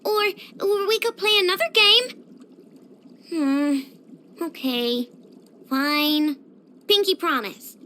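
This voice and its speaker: Casting: a tiny girl tinkerer for a game, very high and bright, childish, dramatic, bossy. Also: expressive, moderate pace, a little hiss or hum, heavily acted. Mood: whiny, pouting. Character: excitable, sweet-toothed little girl robot inventor. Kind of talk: video game character voice lines.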